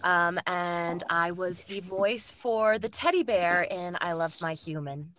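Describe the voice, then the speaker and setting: A woman's voice: lowish voice, slow, a bit bright. A woman from Texas, a voice actress guest, speaking casually over some background noise. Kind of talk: casual introduction.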